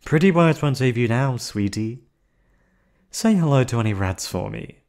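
A man voicing a menacing yandere captor in an ASMR roleplay, with a put-on accent and a calm, coldly threatening delivery. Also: medium pitch, moderate pace, pitched above the performer's own voice, lightly acted. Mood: cold menace. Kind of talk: ASMR roleplay audio drama.

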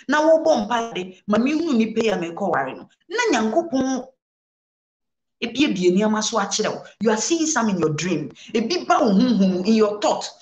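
A Ghanaian woman reverend speaks with earnest conviction, moving between English and Twi. Her pitch rises and falls in lively swings as she repeats phrases for weight. The studio recording carries a noticeable roomy echo. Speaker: reverend guest. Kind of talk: preaching interview answers. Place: studio set, indoors.

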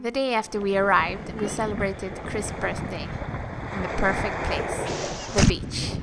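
Young swedish woman, even upbeat travel voice-over, music under it. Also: mid-range voice, measured pace, rough recording. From Sweden. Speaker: vlog narrator. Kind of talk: scripted voice-over narration.

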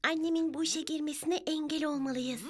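A performer voicing a bright, eager little bird child in a children's cartoon. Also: high voice, steady tone, brisk, very noisy, natural voice. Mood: scheming.

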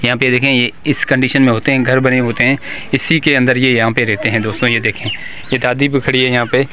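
A man's voice: medium pitch, flat delivery, fast, pushed and bright, a little hiss or hum. Casual vlogger guy outdoors, phone mic, pleading tone, calls out to viewers a lot. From Pakistan. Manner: repetitive, pleading, keeps calling out "guys" and "friends". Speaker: village vlogger. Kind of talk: walking tour vlog with interviews. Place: outdoors in a village among huts. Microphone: handheld phone camera.

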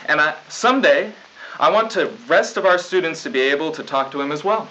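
A young man playing an earnest, self-important high school student president, reading stiff, formal mock news at a desk. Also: a little fast, pushed and bright. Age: young adult. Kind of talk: scripted mock 1990s school news broadcast. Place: small studio news desk. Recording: dull lo-fi 1990s videotape.

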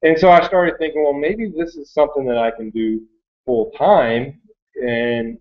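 A man's voice: a little high, fairly even intonation, a little slow. A man in his thirties with an American accent, telling his story in a rambling, casual, conversational way. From Michigan, United States. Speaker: online reseller sharing his story. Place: small cluttered workroom indoors. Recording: thin phone-like webcam audio.

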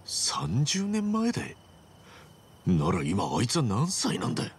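An actor playing a hot-blooded, blunt yakuza hero in a video game, with rough, casual, emphatic speech. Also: male voice, medium pitch, wildly swooping pitch, measured pace, a little hiss or hum. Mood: incredulous questioning. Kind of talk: Japanese video game cutscene acting.

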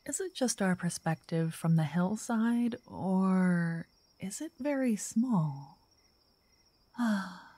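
A woman voices a wealthy vampire lady, romantic and doting, in an ASMR audio drama. Her voice is mellow, soft and breathy, often slipping into a whisper. She talks slowly and elegantly, flirting gently, very close to the mic in a dry space. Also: lowish voice, no room sound. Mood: intrigued, intimate. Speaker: ASMR roleplay voice actor. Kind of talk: intimate ASMR roleplay, half-whispered. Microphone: close microphone in a dry, quiet space.

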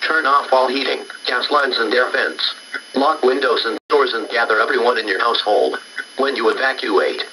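A man's voice: medium pitch, pushed and bright. A man reading a scripted emergency broadcast announcement as an alert announcer. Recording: noisy, distant broadcast-style recording.

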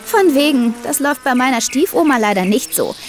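An actress playing a chatty, expressive teenage girl in a German children's audio drama. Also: high-pitched, a little fast, a little hiss or hum. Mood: frustrated.

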